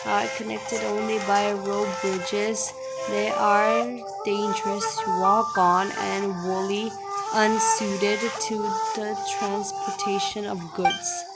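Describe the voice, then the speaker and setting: Slow-paced woman gamer. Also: mid-range voice, low-quality recording. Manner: halting reading, stumbling over long words. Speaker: gaming streamer. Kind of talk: casual game playthrough, reading dialogue aloud. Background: loud game music.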